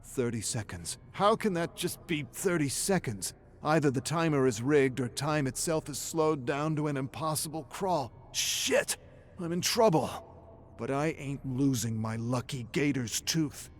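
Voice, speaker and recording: A man's voice: fairly high pitch, animated, measured pace, a little hiss or hum. A man reading scripted narration in a theatrical, tense storytelling manner.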